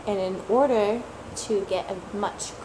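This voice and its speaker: A woman for whom English is a third language, talking slowly and reflectively as a tarot reader, repeating words for emphasis and sometimes searching for them. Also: medium pitch, background noise. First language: not English; English is her third language. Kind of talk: slow reflective monologue.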